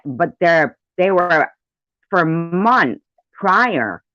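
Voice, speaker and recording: A woman's voice: sits low, fairly bright tone, no echo. A middle-aged woman giving indignant, emphatic personal commentary, often repeating her phrases. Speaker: commentator reacting to played audio. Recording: dull, lo-fi recording.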